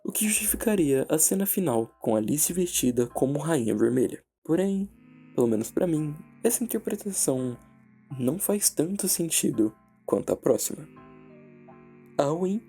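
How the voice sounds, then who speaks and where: A Brazilian man narrating a scripted horror analysis in a measured, dramatic way with deliberate pauses. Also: on the high side, big pitch swings, vocal fry, warm tone. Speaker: narrator and video essayist. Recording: background music under the voice. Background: music.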